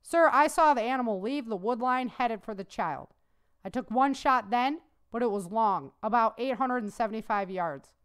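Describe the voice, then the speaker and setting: A woman's voice: fairly high pitch, expressive, normal speed, clear, resonant tone, natural voice. A woman voicing an earnest young male army private who answers straightforwardly and respectfully.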